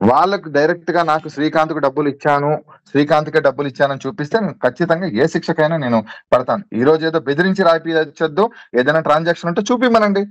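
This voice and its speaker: A man's voice: fairly high pitch, very fast, no echo, lo-fi sound. A man being interviewed on a recorded call, protesting insistently and repeating himself as he defends his position.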